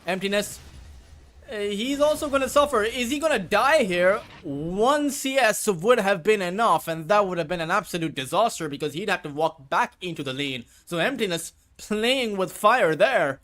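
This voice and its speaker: A man who speaks Urdu as his first language, casting a match alone, with rambling asides between quick play calls. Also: high-pitched, animated, fairly bright tone. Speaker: esports caster. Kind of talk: solo play-by-play commentary.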